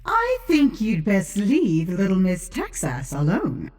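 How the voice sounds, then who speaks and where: A woman acts out a stately older First Lady in an audio drama. She speaks very slowly and deliberately, with hugely swooping pitch and a commanding, measured authority. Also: wildly swooping pitch, darkish tone, full character voice. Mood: stern, measured. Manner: slow, measured, pointed.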